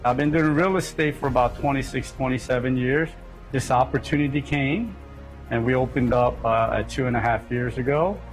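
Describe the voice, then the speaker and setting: Older man, halting plain talk, restaurant interview, faint music. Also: mid-range voice, rough recording. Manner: plain-spoken, halting with fillers.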